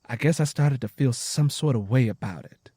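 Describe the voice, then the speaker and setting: A man's voice: mid-range voice, moderate pace, warm tone, husky, dry recording, studio quality, natural voice. A man voicing a wary, teasing Southern country wife in her twenties, with blunt, folksy dialect, in an audio story. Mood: evasive, sheepish.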